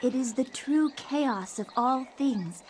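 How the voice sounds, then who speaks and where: A woman playing a female character in a dark horror fantasy video game, with theatrical cutscene delivery. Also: high voice, animated, slow pace, slight background noise. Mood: solemn, condemning.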